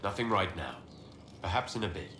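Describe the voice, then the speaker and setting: An actor playing a composed, commanding leader in a fantasy video game, asking direct questions. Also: male voice, deep voice, expressive, brisk. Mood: probing. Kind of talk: video game dialogue acting. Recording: roomy echo, clear background noise.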